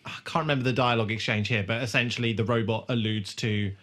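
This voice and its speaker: A man's voice: mid-range voice, steady tone, fast, fairly bright tone. A man co-hosting a podcast, chatting casually and discursively, with some swearing. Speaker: podcast co-host. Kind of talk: casual chat recapping a TV episode.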